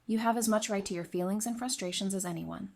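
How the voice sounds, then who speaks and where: A woman's voice: mid-range voice, fast, creaky, a little mellow, lightly acted. A woman playing a frank, loyal and caring friend in an audio drama. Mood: reassuring.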